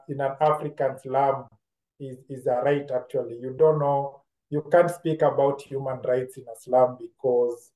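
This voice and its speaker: Kenyan man, mellow voice in echoey room. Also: mid-range voice. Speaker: interview guest, educator. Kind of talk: reflective conversational storytelling. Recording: roomy, echoing sound.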